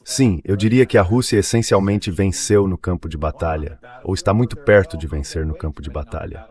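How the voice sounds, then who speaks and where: A low, deep-set male voice, AI-generated as a dub, delivers political analysis as an interview guest. He is assertive and restates his points for emphasis. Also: fairly quick, a little mellow. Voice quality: AI-generated dubbed voice.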